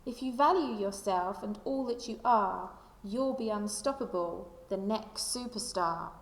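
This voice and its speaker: A woman reading a children's picture book aloud like a primary school teacher, with expressive, deliberate storytelling delivery. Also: mid-range voice. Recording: echoey room with long reverb.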